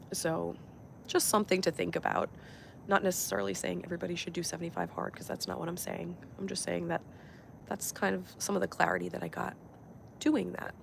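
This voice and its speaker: A young woman with a stuffy, nasal voice from a cold talks through a motivational live stream as she walks outside, easygoing and reflective with plenty of fillers. Her voice is low and deeply gravelly, and faint noise hangs behind her. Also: a little fast, pauses often. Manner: relaxed reflective chat with fillers. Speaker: vlogger and life coach. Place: outdoors on a walk.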